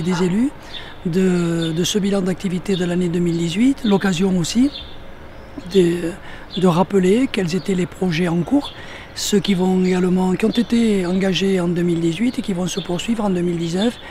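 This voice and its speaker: High-voiced man with a mellow tone. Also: slight background noise. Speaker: local elected official. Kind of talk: interview remarks.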